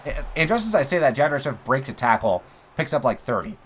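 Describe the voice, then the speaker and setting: A young man giving casual play-by-play commentary over a football video game, excitable, with his voice going a little hoarse. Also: medium pitch, a little fast, slight background noise. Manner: excitable, talks through plays, says bro and like. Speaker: gaming YouTuber. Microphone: USB desk mic.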